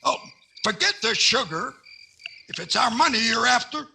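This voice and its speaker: Man playing a creaky, high-voiced prospector. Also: expressive, moderate pace, pushed and bright, slight background noise, full character voice. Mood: reassuring. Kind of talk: 1940s radio comedy acting.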